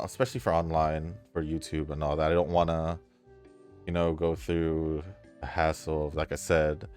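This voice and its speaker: Low-voiced laid-back man. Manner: laid-back, hesitant, trailing off with fillers. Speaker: gaming YouTuber. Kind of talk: casual commentary reading viewer comments. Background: faint background music.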